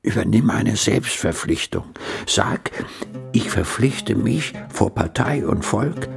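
Radio drama: cautious communist official, commanding, gravelly and animated, over plucked music. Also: male voice, lowish voice, slow pace, exaggerated voice. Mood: urgent, hushed. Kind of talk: radio play acting.

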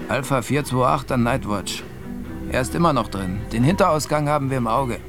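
An actor playing a wary city cab driver in a German radio drama. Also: male voice, medium pitch, animated, normal speed, over music, natural voice. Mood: watchful reporting.

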